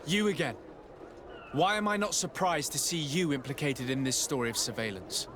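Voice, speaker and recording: A man's voice: a little high, moderate pace, fairly bright tone, slight background noise, lightly acted. A man voicing a composed, diplomatic emissary in a video game, polite, formal and probing.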